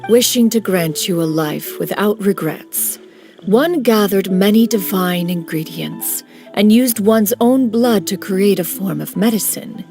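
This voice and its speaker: A woman's voice: medium pitch, a little slow, warm tone, close to an everyday voice. A woman voicing a proud ancient crane spirit. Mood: stately. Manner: archaic, stately speech, calls herself "one". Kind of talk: video game character dialogue. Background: game music.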